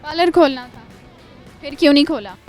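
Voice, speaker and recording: A young woman interviewing students at a college, asking quick teasing follow-up questions in a playful way. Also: high voice, animated, darkish tone, faint music behind. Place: college campus.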